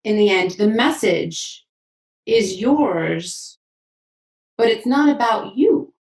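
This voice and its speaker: An enthusiastic woman hosts a podcast conversation, affirming her guest warmly and speaking in quick bursts. Her voice sits at a middle pitch, with a roomy echo from a mic placed some distance away. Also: animated. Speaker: podcast host. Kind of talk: conversational interview.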